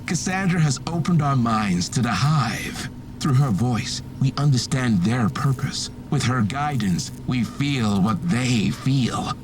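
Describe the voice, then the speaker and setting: A man's voice: medium pitch, animated, noisy background. A man playing a fanatical cultist in a video game, preaching with reverent, serene menace. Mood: devout. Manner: slow, reverent, preaching delivery. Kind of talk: dramatic video game acting.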